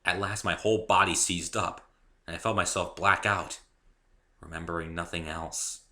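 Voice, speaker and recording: Very bright-voiced man reading a story dramatically. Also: low-pitched, fairly even intonation, moderate pace. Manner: theatrical, emphatic. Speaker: author reading own story. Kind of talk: dramatic first-person story narration.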